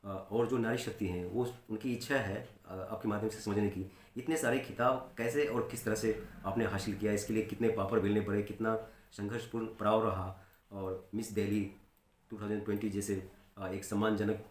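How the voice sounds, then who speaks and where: A male reporter opens the piece and puts questions to his guest in formal, flowery Hindi. His voice sits fairly low, and the room around him rings with echo. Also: steady tone, moderate pace. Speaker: news reporter and interviewer.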